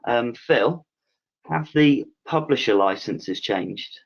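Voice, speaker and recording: A man hosting an online Q&A with a panel, reading out viewers' questions in a relaxed way with lots of "um"s. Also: mid-range voice, slow, creaky. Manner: relaxed, filler-heavy, reads out questions. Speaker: webinar host. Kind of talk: casual Q&A moderating. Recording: dull lo-fi call audio.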